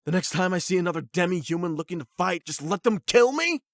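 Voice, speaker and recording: A man's voice: high-pitched, expressive, fast talker, a bit bright, no room sound, clean studio sound. A man playing an angry, hurt young delinquent, defiant and sarcastic, in an emotional anime-style audio drama. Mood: desperate, frustrated. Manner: sweary, sarcastic, confrontational.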